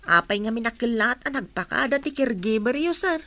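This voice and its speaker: A woman's voice: on the high side, expressive, fairly quick, fairly bright tone, no room sound. A woman acting as a respectful, obliging housemaid in a dramatised audio story. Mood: respectful, helpful. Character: respectful, obliging older housemaid to a rich employer.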